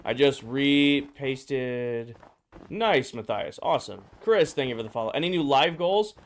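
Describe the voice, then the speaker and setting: A man streaming a game, his voice slightly hoarse and drowsy, casually greeting viewers and answering chat questions. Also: mid-range voice, animated, a little slow. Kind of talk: casual live-stream chat while playing.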